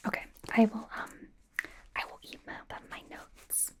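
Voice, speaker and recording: A woman playing a bubbly, fawning young female K-pop idol stylist in a soft, breathy ASMR roleplay. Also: lightly acted. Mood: admiring, gushing. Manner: gushing, casual, slow, with repeated soft reassurances. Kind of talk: whispered ASMR roleplay, personal attention.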